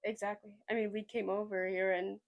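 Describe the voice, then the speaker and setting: A woman's voice: mid-range voice, flat delivery, a little fast, muffled recording. A young woman from Sweden with a slight Southern US twang, hosting a podcast in a rambling, chatty way with lots of hedging. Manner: rambling, chatty, hedges a lot. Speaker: podcast host. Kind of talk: casual chat.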